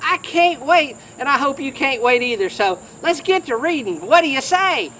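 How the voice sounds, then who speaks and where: A man's voice: extremely high pitch, expressive, moderate pace, a little hiss or hum, voice pushed up, exaggerated voice, rough recording. A man playing a cheerful, folksy, friendly camper in a children's storybook role-play, chatty and exclamatory. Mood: cheerful greeting. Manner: chirpy, exclamatory, gently chatty. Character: cheerful folksy friendly man in the yellow hat, camping companion.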